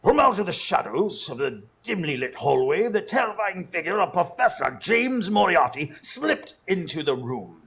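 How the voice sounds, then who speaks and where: An earnest, easily astonished detective's companion played by a man in a radio play. His voice is gravelly and husky, with lively, dramatic swings as he tells the tale. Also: sits high, normal speed, few pauses, exaggerated voice. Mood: suspenseful narration. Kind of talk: live radio drama narration and dialogue.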